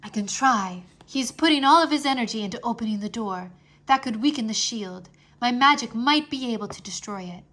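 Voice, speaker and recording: Woman doing an anxious, high-voiced boy wizard. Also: lively intonation, fairly quick, fairly bright tone. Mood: anxious, resolved. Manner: effortful, repetitive spell chanting. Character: anxious boy wizard standing up to his father.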